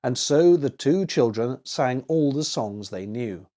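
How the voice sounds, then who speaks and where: A male storyteller reads a children's tale expressively. His medium-pitched voice moves with lively, varied intonation. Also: a little slow.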